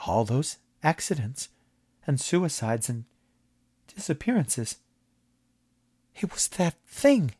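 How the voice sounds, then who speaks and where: A man voicing an excitable, jovial businessman who gushes in a high voice. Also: normal speed. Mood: alarmed. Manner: high, gushing and breathless.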